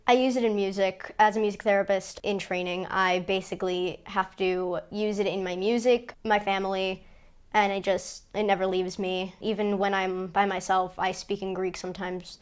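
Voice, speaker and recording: A woman's voice: medium pitch, fairly even intonation, moderate pace, smooth. An eighteen-year-old woman, a music therapy student, speaking sincerely in a documentary interview. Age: young adult.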